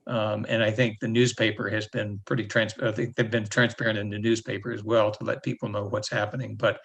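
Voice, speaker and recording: A man giving earnest, measured remarks at a club meeting. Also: fairly low pitch, level tone, gravelly, a bit bright, husky.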